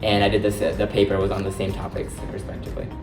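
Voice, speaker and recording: A high school senior boy, a native Hindi speaker, giving earnest interview answers that trail off at the ends. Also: completely flat delivery, fast. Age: late teens. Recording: roomy distant mic with loud music.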